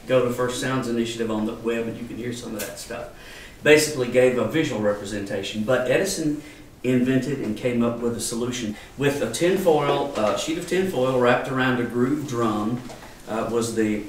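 An older man gives an informal, folksy explanatory talk as an archivist demonstrating to a small group. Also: medium pitch. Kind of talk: informal live lecture. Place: wood-paneled salon with small audience. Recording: echoey room, distant mic, faint noise.

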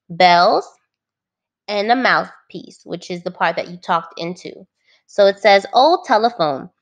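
A woman teacher reading a children's book aloud, phrasing it clearly and adding simple explanations for young listeners. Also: medium pitch.

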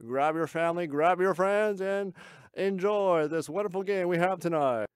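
A man voicing a cheerful 1960s baseball announcer with a bright broadcaster cadence. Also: high-pitched, moderate pace, smooth, dry recording, clean studio sound, close to an everyday voice. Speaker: voice actor. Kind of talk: vintage ballpark broadcast.

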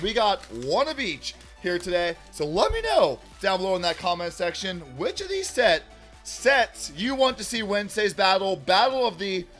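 A man's voice: sits high, fairly bright tone. A man in his thirties hosting a card-opening video, upbeat and talking to viewers as "y'all" while losing his voice. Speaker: card-opening channel host. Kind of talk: excited card unboxing chat. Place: indoor room at a table.

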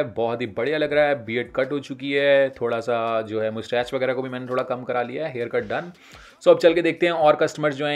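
Chatty man narrating a barbershop vlog. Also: mid-range voice. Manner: chatty, mixing Hindi and English, frequent fillers. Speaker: vlogger. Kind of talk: casual Hindi-English vlog narration. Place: barbershop, indoors.

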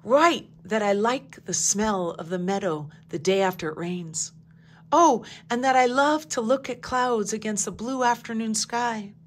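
A woman reading a picture book aloud, voicing a gentle, wistful female dandelion in a softly raised voice. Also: on the high side, animated, measured pace. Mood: gleeful.

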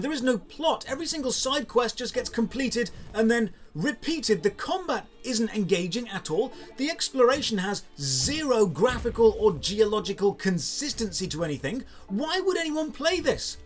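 A man's voice: very high voice, very animated, normal speed, hardly any pauses, faint music behind. A British man in his thirties narrating a scripted game review in a sardonic, critical manner. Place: small room lined with game shelves.

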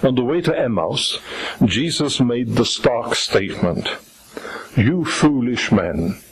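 An older man with a husky, somewhat rough voice of average pitch delivers a formal theological lecture. His first language is Polish. He speaks deliberately and solemnly, like a priest reading a prepared text at a lectern. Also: expressive. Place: lecture stage at a lectern, indoors. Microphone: gooseneck lectern microphone.

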